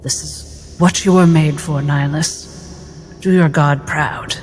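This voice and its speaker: A composed, encouraging doctor, acted by a game player speaks in a measured, reassuring way. The voice is medium in pitch, with faint music behind, as part of a tabletop roleplaying game. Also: fairly even intonation, long reverb tail, natural voice.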